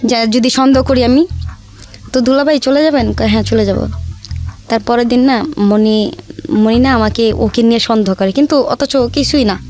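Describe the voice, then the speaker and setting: A woman's voice: on the high side, normal speed, darkish tone. A young woman with a Bangladeshi accent chattily telling her life story in colloquial speech, acting out the dialogue as she goes. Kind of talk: casual personal storytelling with re-enacted dialogue. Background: background music.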